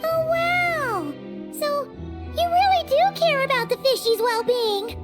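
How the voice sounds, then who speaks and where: A woman voicing a small, excitable, childlike fairy companion in an anime game, squeaky, chatty and exclamatory. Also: slow, over loud music, full character voice. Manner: speaks of herself in third person, exclamatory.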